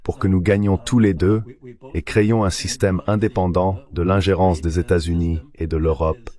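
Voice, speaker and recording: A man giving a measured, formal voice-over translation of an economist's analysis in an interview. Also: low voice, fairly even intonation, warm tone.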